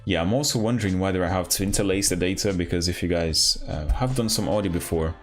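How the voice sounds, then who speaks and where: Man, deep voice, casual coding stream commentary, halting, self-questioning, light music behind. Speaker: livestream host, programmer. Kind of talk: casual live coding commentary, thinking aloud.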